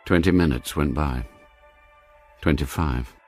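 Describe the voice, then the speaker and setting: A man's voice: extremely low pitch, measured pace, darkish tone, faint music behind. A man narrating a radio drama from a script, in literary storytelling style.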